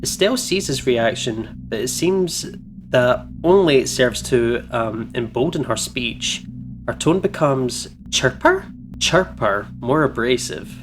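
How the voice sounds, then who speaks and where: A man doing casual let's play commentary and reading narration aloud, expressive and playful in manner. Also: medium pitch, a little slow, slight background noise. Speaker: gaming streamer reading aloud.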